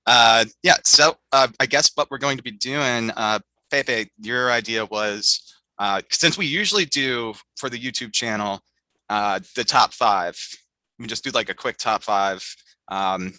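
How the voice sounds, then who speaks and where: Rambling man host, very bright voice. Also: medium pitch, a little slow, no echo, poor audio. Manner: rambling, filler-heavy. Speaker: podcast host. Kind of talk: casual chat.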